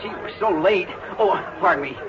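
An actor playing an eager, boyish, chatty high-school football fan in a 1940s radio drama. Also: male voice, moderate pace, over music, lightly acted. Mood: eager, flustered. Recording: muffled 1940s radio recording, crowd behind.

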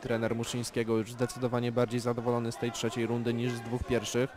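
A man giving calm, measured live play-by-play commentary on an amateur boxing bout, with technical analysis of the punches. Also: mid-range voice. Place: boxing event venue. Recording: loud crowd in a venue.

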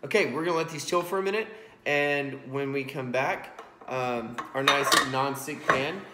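A man's voice: medium pitch, normal speed, noisy background. Guy in his 30s talking thru a recipe casually, bit self-deprecating, echoey kitchen on a phone. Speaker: cooking vlog host. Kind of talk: casual chatty cooking commentary. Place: home kitchen, indoors. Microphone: iPhone propped on a stack of books. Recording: roomy, echoing kitchen sound.

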